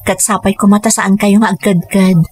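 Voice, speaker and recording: A woman's voice: mid-range voice, little pitch movement, moderate pace, darkish tone, husky, dry recording, faint music behind, close to an everyday voice. A woman playing an earnest, self-sacrificing young mother who speaks pleadingly in a radio drama. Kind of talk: Ilocano radio drama acting.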